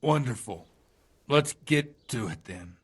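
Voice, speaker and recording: An actor playing a hungover, scheming village priest in a video game, groaning and coarse-mouthed in a dramatic way. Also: male voice, medium pitch, lively intonation, takes it slowly, heavy background noise. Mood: brisk, conspiratorial. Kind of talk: video game cutscene acting.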